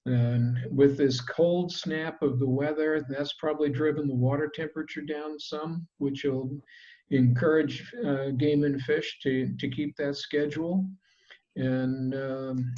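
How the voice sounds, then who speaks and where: A man hosting an online club meeting in a relaxed, chatty way, with plenty of fillers. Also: a little high, a little slow. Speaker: meeting host. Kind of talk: casual meeting wrap-up. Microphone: video call. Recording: dull lo-fi call audio.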